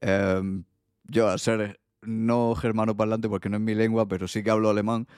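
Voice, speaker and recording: A low-voiced man co-hosting a casual podcast, recorded close and dry. He often trips over his words and laughs at himself. His talk stays loose and informal. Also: level tone, normal speed, a little mellow, smooth, dry recording. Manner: stumbles over words, self-mocking, laughs easily. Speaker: podcast co-host.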